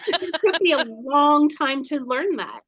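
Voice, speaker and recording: A woman in her forties telling personal stories candidly in conversation, animated and blunt, often acting out her own inner dialogue. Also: high voice, measured pace, crowd noise. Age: 40s. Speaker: guest, spiritual guide.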